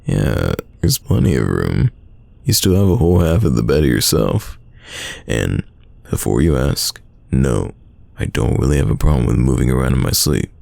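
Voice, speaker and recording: A man acting a teasing, stubborn young friend in an intimate roleplay audio drama, with a very deep, gravelly voice and a casual delivery full of drawn-out sighs. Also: very animated, darkish tone, dry recording, full character voice. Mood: teasing, insistent.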